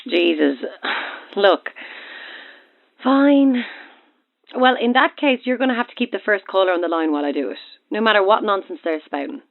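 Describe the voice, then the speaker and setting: Brisk radio producer over thin talkback. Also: female voice, mid-range voice, lively intonation, vocal fry, phone-quality sound. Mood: exasperated. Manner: brisk, familiar, instructing. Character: no-nonsense, exasperated but supportive female radio producer. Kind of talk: audio drama acting. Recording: thin talkback sound, faint music behind.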